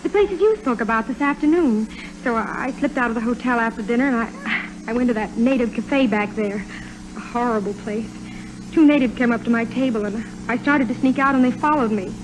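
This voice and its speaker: A woman's voice: fairly high pitch, a little fast, noisy background, close to an everyday voice. An actress playing a starry-eyed, naive nineteen-year-old American girl in a breathless, earnest way in a 1950s radio drama. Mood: shaken, relieved.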